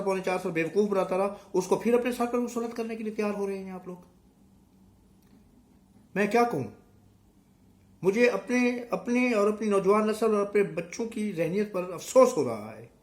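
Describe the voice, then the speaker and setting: Casting: middle-aged man, Pakistani accent, fairly high voice strained by a sore throat, emphatic political vlogger addressing viewers off the cuff. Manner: emphatic, rhetorical questions and repetition.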